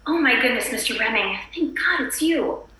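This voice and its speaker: A woman's voice: on the high side, expressive, normal speed, bright, forceful tone, distant mic, a little hiss or hum. A woman playing a prim, polite pregnant housewife turned exasperated kidnapper in a screenplay table read, scolding with good manners. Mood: flustered politeness. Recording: roomy echo, away from mic.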